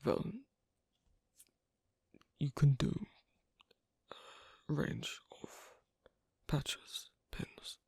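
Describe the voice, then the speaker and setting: A performer voicing an asocial, reticent werewolf in an ASMR roleplay, speaking haltingly in a breathy, husky half-whisper. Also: sing-song, dark tone, dry recording, clean studio sound, full character voice. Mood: quietly explaining. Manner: halting, hesitant, low-key. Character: asocial, reticent young werewolf on a sewing date.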